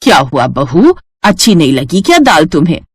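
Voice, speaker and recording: A woman's voice: deep voice, sing-song, normal speed, husky, no echo, studio quality, exaggerated voice. A woman voicing an older mother-in-law in a family story, doting and indulgent with a fawning manner. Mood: soothing.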